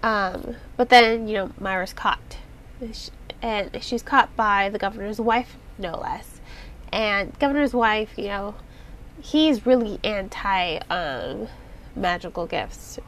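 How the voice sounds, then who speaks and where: A woman hosts her podcast with a congested, nasal sound, giving a chatty book review. She rambles, restarts and fills pauses with "um" and "you know". A faint hiss sits low behind her voice. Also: fairly bright tone. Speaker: podcast host reviewing books. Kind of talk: casual spoken book review.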